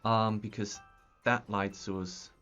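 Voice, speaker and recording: A man's voice: lowish voice, little pitch movement, slow, fairly bright tone. A young man from Austria, a native German speaker with an Austrian accent, chatting on a live stream while building a map. He thinks aloud with many ums and restarts. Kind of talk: casual live-stream chat. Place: small room at a desk, indoors. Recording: slightly dull sound, faint music.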